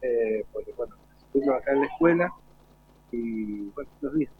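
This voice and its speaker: A man's voice: mid-range voice, expressive, brisk, slightly rough, dry recording. A man with an Argentine accent casually interviewing guests on live radio. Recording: phone-like, dry radio sound.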